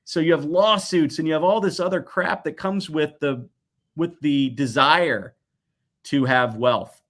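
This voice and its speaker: A man in his forties giving conversational interview answers in an earnest, reflective way, pausing to stress his points. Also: fairly high pitch, fairly bright tone. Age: 40s. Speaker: guest, toy company executive.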